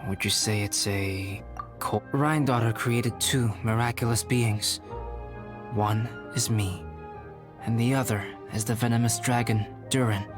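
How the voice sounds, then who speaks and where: A man voicing a calm, reserved young-looking alchemist in a video game, speaking in a measured, formal, articulate way. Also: lowish voice, close to an everyday voice. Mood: composed, reflective. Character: composed, scholarly young-looking male alchemist, reserved and earnest. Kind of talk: video game character acting. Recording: music under the voice.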